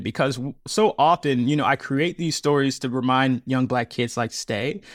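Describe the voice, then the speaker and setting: Earnest man, lively, dry close sound. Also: no echo. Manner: earnest, animated, laughs often, voice jumps high when amused. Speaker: podcast guest, audio storyteller. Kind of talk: reflective interview talk.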